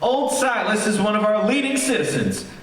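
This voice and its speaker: A man's voice: high voice, lively intonation, normal speed. Man acting an echoing, earnest small-town sheriff. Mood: earnest, uneasy. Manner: earnest, explanatory, hesitant. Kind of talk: old-time radio mystery acting. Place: theater stage, live performance. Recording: very echoey theater, distant mic, faint noise.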